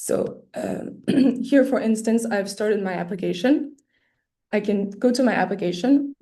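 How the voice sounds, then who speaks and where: A woman losing her voice, presenting an arts award webinar over a video call, clearing her throat often and pausing on many fillers. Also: medium pitch, mellow. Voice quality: slightly hoarse. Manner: hesitant, many fillers and restarts. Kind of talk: explaining an application process. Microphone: computer mic on a video call.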